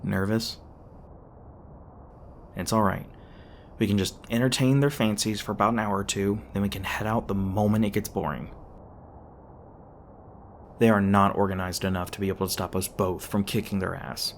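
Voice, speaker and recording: Man playing a gruff, sardonic monster hunter, low-voiced. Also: fairly even intonation, moderate pace, pauses often, slight background noise, close to an everyday voice. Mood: low, reassuring. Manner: curt, sarcastic. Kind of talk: ASMR audio roleplay acting.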